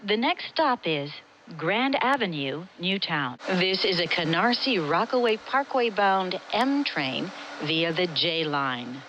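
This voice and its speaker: A woman with an American accent reads scripted transit announcements in a measured, formal, clearly enunciated way. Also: fairly low pitch, animated, fairly bright tone, a little hiss or hum, rough recording. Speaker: subway announcer.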